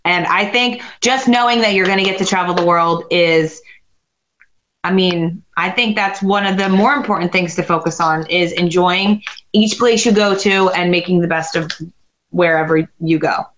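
A woman's voice: fairly low pitch, moderate pace, a bit bright, a little hiss or hum. A woman co-hosting a casual video-call stream, upbeat and talkative, with fillers like "like". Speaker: livestream co-host. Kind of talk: casual chat about reality TV contestants. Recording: echoey room over video call.

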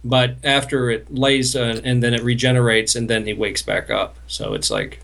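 A man co-hosting a podcast, explaining things casually and haltingly like an earnest know-it-all. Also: mid-range voice, animated, gravelly, a bit bright. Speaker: podcast co-host. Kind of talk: casual explaining in group chat.

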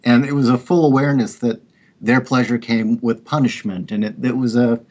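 Middle-aged male writer guest, thoughtful and meandering, mid-pitched voice. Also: steady tone, a little fast, darkish tone. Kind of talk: casual panel chat.

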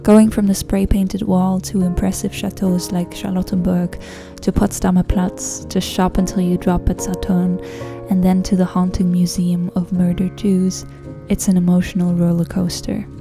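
A woman's voice: fairly low pitch, level tone, normal speed, gravelly, few pauses, dark tone, soft background music. A woman whose first language is Dutch, reading a travel memoir aloud in a reflective, wry way. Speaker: narrator. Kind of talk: scripted travel memoir narration.

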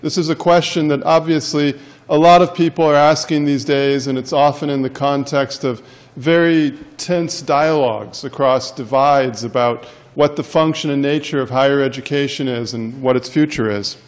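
A male professor giving a lecture in a classroom, loud and wordy by his own account. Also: mid-range voice, steady tone, moderate pace. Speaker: professor and center director. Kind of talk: university lecture. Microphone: handheld mic.

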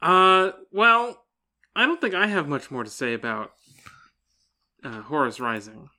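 Bright-voiced man, casual podcast co-host. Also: on the high side, big pitch swings, unhurried. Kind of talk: casual book discussion.

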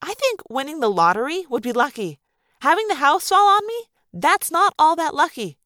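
Female narrator as sarcastic young girl heroine, high voice, flippant delivery, audiobook fiction. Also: very animated, moderate pace, sharp tone, no echo, clean studio sound, heavily acted. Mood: deadpan sarcasm. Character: wry, sarcastic young girl telling off fuss.

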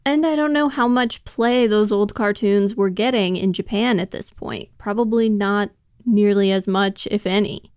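Clear-voiced woman sharing film trivia. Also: mid-range voice, moderate pace, darkish tone, no echo, clean studio sound. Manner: informative, laughs lightly while talking. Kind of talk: casual analytical chat.

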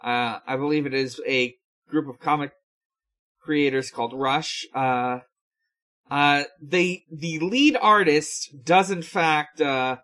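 A podcast co-host casually relaying news in a hesitant, halting way. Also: mid-range voice, fairly bright tone.

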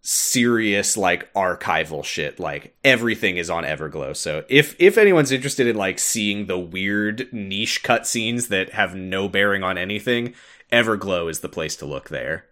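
A man with a fairly low voice talks casually as a podcast host. He sounds like an invested fan, leaning on his words for emphasis in an informal, conversational way. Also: animated, normal speed, a bit bright. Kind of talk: casual podcast chat about video game lore.

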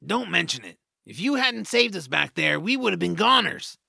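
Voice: mid-range voice, very animated, moderate pace, vocal fry, sharp tone, husky, dry recording, clean studio sound, heavily acted. A performer voicing a scrappy little troll, an anxious and reluctant hero who grumbles, in an audio drama. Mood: relieved, winded.

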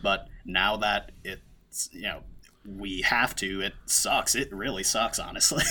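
A man's voice: fairly low pitch, slow, bright, forceful tone, breathy edge. A man in his twenties, a podcast guest, chatting casually and rambling with lots of fillers and restarts. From United States. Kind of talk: casual conversation.

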